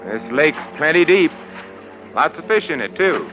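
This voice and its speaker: An actor playing a falsely genial, menacing gentleman in a 1940s radio suspense play, with an urbane, insinuating, mock-friendly delivery. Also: fairly high pitch, very animated, measured pace. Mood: veiled menace. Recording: noisy, dull old radio recording.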